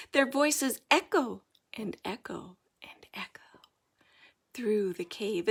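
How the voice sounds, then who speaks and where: A woman reading a children's story aloud as an expressive narrator, dramatic and deliberate in her delivery. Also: fairly high pitch. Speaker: storybook reader.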